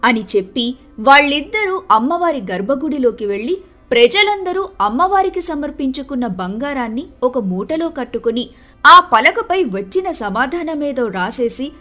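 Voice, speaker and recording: A woman narrating a moral story in a steady, clear storytelling manner. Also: high voice, normal speed, faint music behind.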